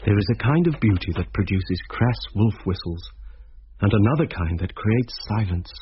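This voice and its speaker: A man plays a reflective schoolteacher narrating a radio mystery. His low voice is measured and literary, on a dull recording with faint noise. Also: expressive, natural voice. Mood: wondering. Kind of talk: scripted radio drama narration.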